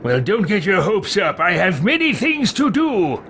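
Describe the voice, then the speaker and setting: A man's voice: sits high, wildly swooping pitch, a little slow, a bit bright, slight background noise, heavily acted. An actor playing a curt, reluctant male character in a fantasy video game. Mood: dismissive. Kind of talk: scripted video game acting.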